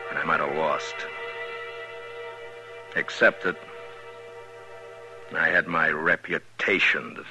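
A very deep-voiced American lawman of the Old West tells his case in a hard-boiled, matter-of-fact way. It is a vintage 1950s radio western, with music clearly behind him.

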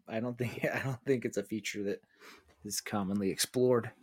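A man's voice: lowish voice, fairly even intonation, normal speed. A man, a fighter pilot in a casual podcast chat, talking in a wry, laid-back way.

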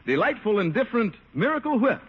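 High, animated old-time radio announcer man. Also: quick pace, slightly rough. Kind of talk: 1950s radio sponsor announcing, scripted narration. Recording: dull old radio broadcast. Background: faint music.

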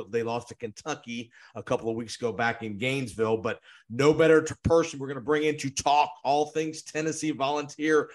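A man hosting a sports podcast, interviewing guests in an energetic, quick manner, often repeating words. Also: medium pitch.

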